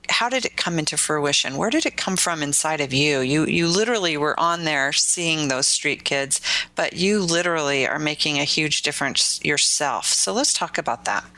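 A woman's voice: deep voice, sharp tone, no room sound. A woman hosting a podcast interview, affirming her guest and asking eager questions. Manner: enthusiastic, affirming. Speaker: interview host.

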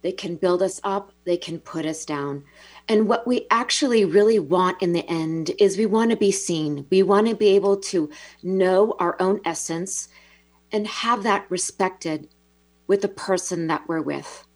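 A woman's voice: fairly low pitch, a little slow. A woman co-hosting a motivational talk, speaking in a flowing, reflective, encouraging way. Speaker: co-host.

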